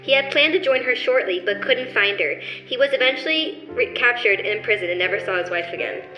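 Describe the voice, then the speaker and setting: A woman's voice: on the high side, lively intonation, a little fast, muffled recording. A woman narrating a scripted story in the style of an old-time radio play. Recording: echoey room, faint music.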